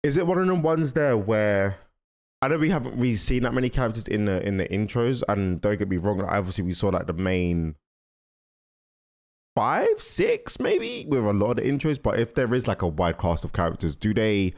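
Animated low-pitched young man reacting. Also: normal speed. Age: young adult. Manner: animated, slangy, musing aloud. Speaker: reaction video host. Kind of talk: casual reaction commentary. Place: small indoor room at a desk. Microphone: close microphone on arm, headphones on. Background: music from the cartoon intros being watched.

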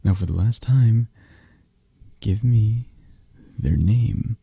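Man acting a dark, husky mafia boss lover. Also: dry recording, exaggerated voice. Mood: cold, possessive, jealous. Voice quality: breathy, husky, low.

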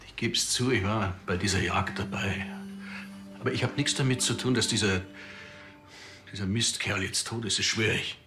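An actor playing an evasive, defensive middle-aged village hunter, curt under questioning. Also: male voice, lowish voice, normal speed, over music, close to an everyday voice.

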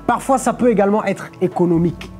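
A man's voice: high voice, fast talker, a little mellow, faint music behind. A young man from Portugal preaching a sermon from a studio lectern, explaining step by step and checking in with his listeners often. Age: young adult. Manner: explanatory, peppers phrases with "ok?" and "amen". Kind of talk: sermon on a live stream. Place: studio stage with a clear lectern.

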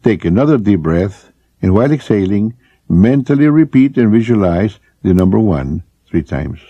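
A man leading a scripted guided relaxation as an instructor, speaking in measured, deliberate short phrases with pauses. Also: fairly low pitch, sing-song, dry recording. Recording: muffled, noisy lo-fi recording with clicks.

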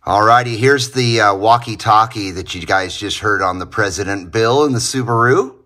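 A man's voice: lowish voice, normal speed, sharp tone, no room sound. A middle-aged man giving a casual, enthusiastic hands-on demo in an informal way, as host of a hobby channel. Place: small indoor garage, partly from inside a car.

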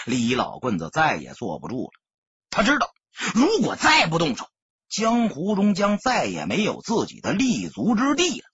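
A man narrating an audiobook in an expressive, theatrical, dramatic storytelling style. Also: a little high, husky, no echo, highs cut off.

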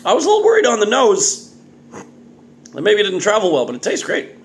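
A man's voice: sits high, brisk. A man in his thirties hosting a casual, off-the-cuff video review in a jokey, exclamatory way, recorded in a home kitchen at arm's length. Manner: animated, exclamatory, jokey delivery with muttered asides. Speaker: beer reviewer and vlog host. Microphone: phone or webcam at arm's length.

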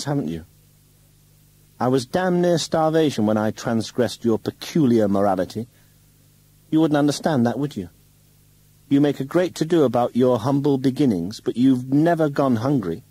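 A man reading a novel aloud, putting on the voice of a young woman who speaks with low, controlled contempt and turns to sharp accusation. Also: normal speed, long pauses, slightly rough, dry recording, rough recording. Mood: accusing. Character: bitter scornful young woman lashing out.

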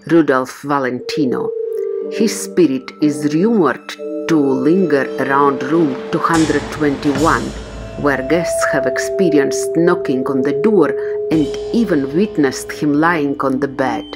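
Low-voiced woman narrating a hotel tour. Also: a little slow. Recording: voice-over with loud music behind.

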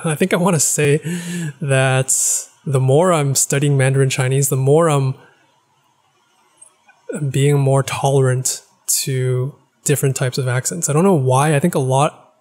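A man's voice: medium pitch, a little mellow. A young man talking through his thoughts on a casual vlog, thoughtful and rambling, often restarting sentences, into a podcast mic in a small living room. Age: young adult. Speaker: language-learning vlogger. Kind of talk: casual reflective monologue. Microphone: boom-arm podcast mic.

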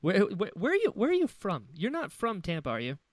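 A man's voice: high-pitched, huge pitch swings, a little fast, dry recording, clean studio sound. A man hosting a casual podcast interview in an informal, affirming way. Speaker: podcast host.

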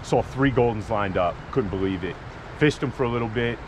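A man telling a fishing story outdoors in an excited, rambling way, restarting his sentences. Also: on the low side, brisk. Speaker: fishing buddy. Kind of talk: casual outdoor chat. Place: outdoors by a creek. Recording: outdoor noise in the background.

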